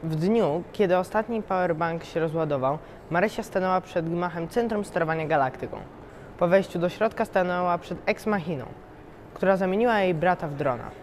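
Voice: medium pitch, brisk, a little hiss or hum. Young teen narrator in a reverberant hall. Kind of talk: scripted story narration.

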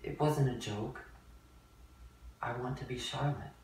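A woman's voice: rumbling low, a little slow, a little mellow. A woman voicing an anxious, guarded schoolgirl of about ten in a staged story reading. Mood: nervous. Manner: nervous, guarded. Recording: echoey room, distant mic, faint noise.

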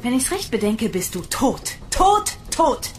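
A woman's voice: fairly high pitch, sing-song, a little slow, faint music behind. A woman playing a harsh, domineering female sergeant in a German science fiction radio drama, barking and mocking with sarcastic scorn. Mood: scornful.

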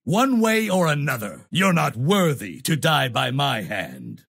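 A commanding holy knight from a fantasy game. His voice is gravelly and husky, sweeping widely in pitch. He declaims with solemn, grandiose conviction, proud and zealous. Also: sing-song, a little slow, a bit bright, full character voice. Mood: menacing. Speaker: video game character voice. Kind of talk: theatrical fantasy game voice lines.

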